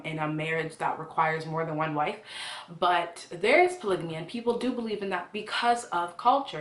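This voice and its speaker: A young woman from Ghana giving a casual, earnest devotional talk to viewers in a conversational way. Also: fairly low pitch. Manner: earnest, conversational, poses questions to listeners. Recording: echoey room.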